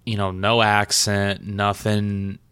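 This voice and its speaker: A man with a Midwestern accent and a low, twangy voice, chatting casually as a podcast co-host, swearing, laughing and stumbling over words. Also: completely flat delivery, takes it slowly, fairly bright tone, clear, resonant tone, no echo. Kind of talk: casual chat.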